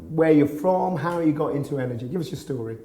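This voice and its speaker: Chatty interviewer guy, bit higher voice, mellow tone, echoey room. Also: fast talker. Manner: chatty, jokey. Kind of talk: interview questions.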